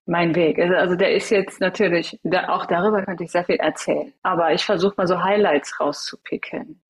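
A woman's voice: on the low side, fairly even intonation, a little fast, slightly rough. A woman in her thirties whose first language is Farsi, a guest telling her life story in a casual interview, hesitant and reflective with many fillers.

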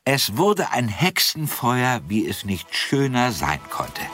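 A man narrating a children's audio drama as an expressive, deliberate storyteller. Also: medium pitch, music in the background. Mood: dramatic.

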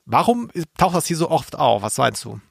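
A man's voice: on the high side, very animated, normal speed, creaky, dry recording, clean studio sound. A man chatting casually and wryly as a podcast co-host. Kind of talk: casual chat.

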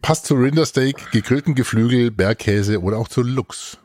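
A man's voice: mid-range voice, normal speed, a little mellow, no room sound, clean studio sound. A man hosting a podcast review with an informal, bantering manner. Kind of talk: casual review chat.

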